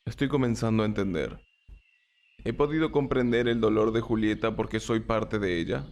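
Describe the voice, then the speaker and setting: A man's voice: fairly low pitch, fairly even intonation, moderate pace, a little mellow, natural voice. A man playing a bewildered, earnest knight in an audiobook, with a questioning manner. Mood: curious, earnest. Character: puzzled, earnest male knight in rusty armor. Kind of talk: audiobook character acting.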